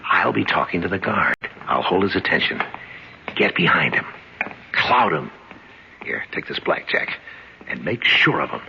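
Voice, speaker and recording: A low-voiced, gravelly crook who taunts and orders people around with calm menace, his pitch swinging widely. It comes through a dull old radio broadcast with a steady hiss behind it. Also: a little slow, long pauses, full character voice. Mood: commanding. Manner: cool, taunting, commanding. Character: smooth menacing male crook posing as guard. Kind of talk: 1970s radio drama acting.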